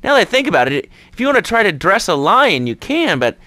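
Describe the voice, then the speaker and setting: An actor playing a wry, sarcastic talk radio host who banters with callers on a phone-in show. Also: male voice, high-pitched, big pitch swings, quick pace, bright, forceful tone, dry recording, full character voice. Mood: sarcastic, bemused.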